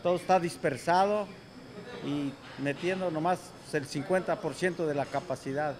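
A man with a notably high voice gives unscripted interview answers. He talks as someone working in the restaurant business. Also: normal speed.

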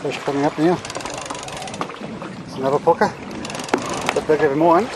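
A man's voice: fairly high pitch, steady tone, normal speed. A man giving casual running commentary as an amateur angler filming his fishing. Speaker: amateur angler narrating own fishing video. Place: outdoors on a small boat at sea. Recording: very noisy outdoor boat recording. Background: boat and water noise.

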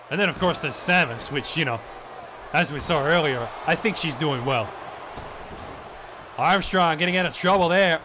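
Profane, excitable wrestling commentator. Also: male voice, on the high side. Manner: excited, profane, drops in "mate" often. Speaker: play-by-play commentator. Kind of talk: wrestling commentary on a video game match. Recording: noisy background.

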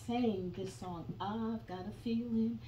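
A woman's voice: fairly high pitch, fairly even intonation, slow pace, mellow, slight background noise. An older woman preaching a fervent sermon at a pulpit in a small church, quoting scripture and breaking into song. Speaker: guest preacher. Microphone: phone camera from the pews, far off. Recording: echoey room, far from the phone mic.

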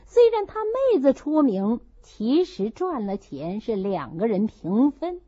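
Female audiobook narrator: high, lively pitch, telling a literary story expressively, dull recording. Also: measured pace, slightly rough, dry recording.

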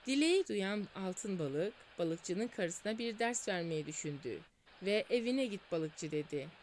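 A woman storyteller reading a fairy tale aloud in a sing-song, expressive way. Her mid-pitched voice rises and falls freely, with faint noise behind it. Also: unhurried. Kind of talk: scripted fairy-tale narration.

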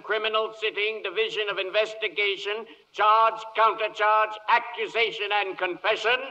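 A man's voice: sits high, pushed and bright. A man playing an officious, ceremonious court clerk in a 1940s radio comedy, declaiming formal proclamations. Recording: dull 1940s radio recording with long reverb.

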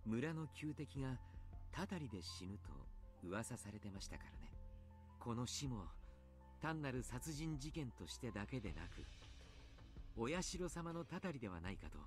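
An actor playing a soft-spoken, grave male doctor in a Japanese visual novel, speaking politely and choosing his words with care. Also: medium pitch, very animated, quick pace, faint music behind, lightly acted. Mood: somber, troubled.

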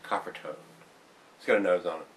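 A man's voice: low-pitched, brisk, far from the mic, slight background noise, rough recording. A middle-aged man hosting a casual beer review from a room at home, chatty and folksy, talking to viewers as guys. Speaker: beer reviewer, show host. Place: home room with glass shelves, indoors. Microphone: camera on tripod across the table.

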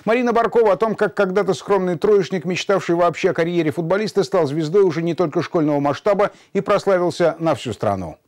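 A middle-aged man reading the news as a studio anchor, in a brisk, formal delivery. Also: high voice, darkish tone. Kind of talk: read news bulletin.

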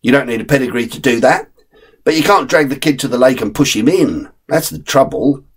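A man's voice: mid-range voice, brisk, slightly rough, voice pushed up. A man voicing a friendly, streetwise male terrier who gives blunt, knowing advice.

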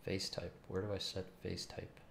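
A man's voice: deep voice, little pitch movement, normal speed, gravelly. A man in his thirties thinks aloud while coding, muttering in short fragments. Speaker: game developer streaming coding. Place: small room at home. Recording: roomy, noisy, keyboard clicks. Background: keyboard typing and mouse clicks.

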